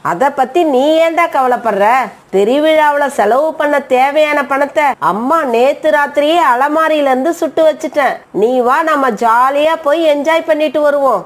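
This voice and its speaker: Woman doing a sassy careless crow mom for a kids cartoon, really high pitched, snippy. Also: normal speed, close to an everyday voice. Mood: breezy, coaxing. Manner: retorting, indignant, dismissive. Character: spendthrift, sharp-tongued mother crow, defiant and careless. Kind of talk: Tamil animated moral story acting.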